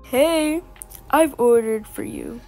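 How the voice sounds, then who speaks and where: A woman's voice: sits high, slow, dark tone, faint music behind. A young woman voicing a confident, popular teenage girl who talks sweetly and a little bossily.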